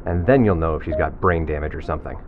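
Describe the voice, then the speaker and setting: A man's voice: very deep voice, fast talker, phone-quality sound, noisy background. An actor playing a bitter, hostile man on a spaceship crew who speaks bluntly and swears.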